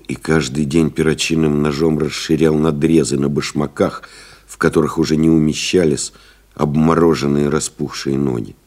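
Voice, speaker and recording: An actor playing a pilot's devoted friend, solemnly retelling the ordeal in measured, rhetorical literary radio play narration. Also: male voice, rumbling low, darkish tone. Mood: grave. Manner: grave, measured, rhetorical, building in intensity.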